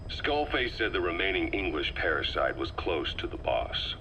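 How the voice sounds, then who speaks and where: Gruff intelligence officer, deep lively intonation, sharp edge, shrewd, probing, video game drama. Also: male voice, moderate pace, full character voice. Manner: probing, matter-of-fact. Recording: faintly noisy field tape.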